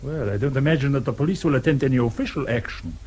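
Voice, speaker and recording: An actor playing a grave, courteous German man in a radio drama, speaking formally and deliberately with a German accent. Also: medium pitch, a little mellow, slightly rough, lightly acted. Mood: grave, confiding. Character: courteous, principled German man, grave confidant or consular clerk.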